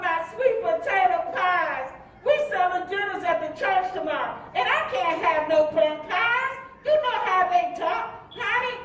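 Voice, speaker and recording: A woman's voice: very high-pitched, fairly bright tone. An actress playing a worried, protective mother and preacher's wife in a staged reading, with pleading, folksy delivery. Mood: flustered. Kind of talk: staged play reading before a live audience. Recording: distant echoey hall recording, faint background noise.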